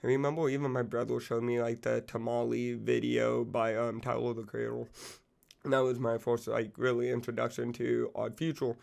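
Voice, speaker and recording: Gravelly young man with a flat voice. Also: mid-range voice, moderate pace. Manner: rambling, loose, full of fillers and profanity. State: recovering from illness, losing his voice. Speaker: music channel host. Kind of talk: casual music commentary.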